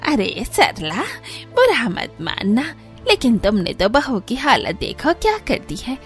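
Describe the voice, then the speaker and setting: A woman's voice: fairly high pitch, huge pitch swings, unhurried, faint music behind. A woman voicing a chatty, nosy but sympathetic village neighbour in a cartoon. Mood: concerned.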